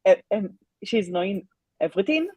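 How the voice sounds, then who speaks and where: Woman, live stream co-host, mellow tone, rough-edged clarity, chatty. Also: mid-range voice, lively intonation, moderate pace, no echo, dull audio. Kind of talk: casual live chat.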